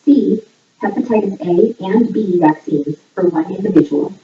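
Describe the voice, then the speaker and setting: A woman hosting a trivia game in a scripted voice-over, reading questions and answers in a measured, item-by-item way. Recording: echoey, distant, muffled low-fidelity playback. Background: voice audio played back in the room while filming.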